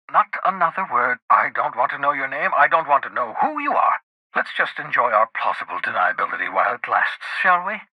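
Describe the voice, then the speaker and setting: An actor playing a cantankerous, socially awkward scientist in a video game, raspy, with a fussy, wordy, theatrical delivery. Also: male voice, on the high side, pushed and bright, no echo, heavily acted, low-quality recording. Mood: exasperated. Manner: fussy, wordy, dramatic, with muttered asides.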